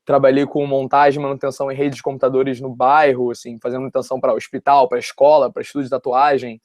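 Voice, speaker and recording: A man's voice: mid-range voice, flat delivery, fast talker, darkish tone, no room sound, clean studio sound. A man in his early twenties with a Rio de Janeiro accent, telling his story casually and in a rambling, informal way. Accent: Rio de Janeiro Brazilian Portuguese. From West Zone of Rio de Janeiro, Brazil. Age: early 20s. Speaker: interview guest, university student. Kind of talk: casual personal storytelling in an interview.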